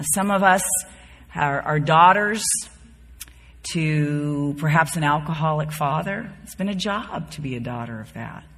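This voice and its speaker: A woman in her fifties teaches a Bible study with a husky edge to her bright voice. She speaks in a folksy, conversational way to a group. The room rings with long reverb. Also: low voice. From born and raised in the Bahamas, later North Carolina. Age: 50s. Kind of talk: informal devotional teaching to a group. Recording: reverberant hall.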